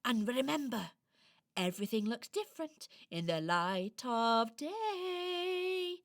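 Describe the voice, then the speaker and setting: Woman playing a gentle, unhurried Christmas fairy. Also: a little high, sing-song, full character voice. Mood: tender, encouraging. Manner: gentle, reassuring, motherly. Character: kind, encouraging Christmas tree fairy, female. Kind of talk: children's radio play acting.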